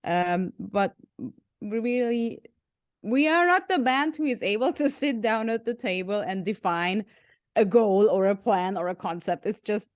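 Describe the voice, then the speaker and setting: A woman's voice: mid-range voice, animated, a little slow, no room sound, studio quality. A woman from Hungary with an English accent mixed from Hungarian and German, chatting as an interview guest, laughing often and saying "like" a lot. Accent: mix of Hungarian and German. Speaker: guest musician. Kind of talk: casual interview chat.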